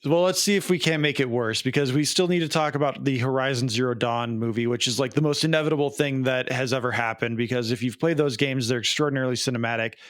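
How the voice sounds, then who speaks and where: A man's voice: mid-range voice, quick pace, hardly any pauses, no echo, studio quality. A man co-hosting a podcast, giving casual, wry and opinionated commentary. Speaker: podcast co-host. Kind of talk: casual film and game commentary.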